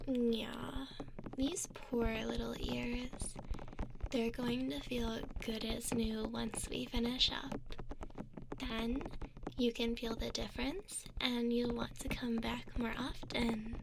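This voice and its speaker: A doting elf woman, played by an ASMR performer, whispers tenderly right at the ear. Her voice is high, soft and breathy, and she takes her time, coaxing and reassuring in an intimate binaural roleplay. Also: level tone, slow pace, background noise. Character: two doting female elves, gentle caring ear cleaners. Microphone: close binaural ear microphone. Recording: close binaural mic.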